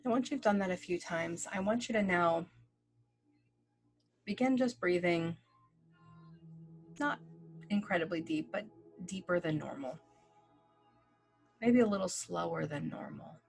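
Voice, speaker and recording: Gentle woman guiding a meditation over music. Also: fairly low pitch, lively intonation, gravelly. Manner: slow, gentle, soothing instructions with long pauses; casual fillers like "like". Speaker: meditation guide. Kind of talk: guided meditation. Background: soft background music.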